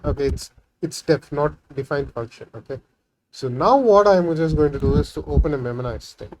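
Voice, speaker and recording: A man's voice: on the high side, animated, fairly quick, noisy background. A man whose first language is Tamil, talking through a coding session in a casual screen-recorded tutorial, thinking aloud with frequent fillers and "right?" tags. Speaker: programming tutorial host.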